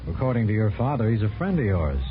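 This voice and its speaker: An actor playing a brisk, polite but pressing FBI agent in 1950s radio drama style. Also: male voice, lowish voice, big pitch swings, background noise. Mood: brisk, official. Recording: tinny, band-limited 1950s radio recording, music.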